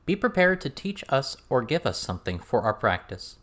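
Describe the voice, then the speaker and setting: A man's voice: mid-range voice, sing-song, moderate pace, fairly bright tone, voice pushed up. A man voicing a serious, blunt teenage girl with a firm, questioning manner in an audiobook. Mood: firm, businesslike.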